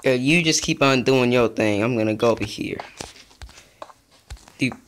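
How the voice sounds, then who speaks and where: A young man giving casual, rambling commentary over his own gameplay, chatty and easily excited. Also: medium pitch, normal speed, a little hiss or hum. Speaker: gaming youtuber.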